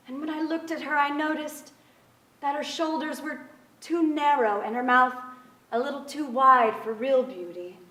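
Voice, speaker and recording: Woman acting a high-voiced reflective storyteller, echoey. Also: a bit bright, far from the mic. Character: reflective narrator recalling an older sister. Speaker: stage actor in a staged reading. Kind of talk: theatrical literary narration. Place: theatre stage. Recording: echoey theatre, picked up from afar.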